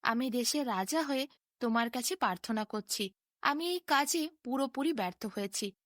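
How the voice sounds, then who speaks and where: A woman's voice: fairly high pitch, lively intonation, normal speed, fairly bright tone, dry recording, clean studio sound. A woman voicing an earnest, pleading king in a storybook fairy tale, solemn and imploring.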